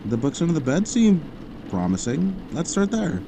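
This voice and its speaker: A man's voice: fairly high pitch, sing-song, normal speed, noisy background. A man playing a matter-of-fact teenage boy in an audio drama, casual and understated. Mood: mildly interested.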